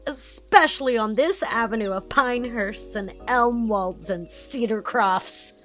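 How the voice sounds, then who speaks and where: A woman's voice: on the high side, a little slow, fairly bright tone, faint music behind. A woman playing a chatty, effusive young society woman in an audiobook drama, leaning on words for dramatic emphasis. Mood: delighted.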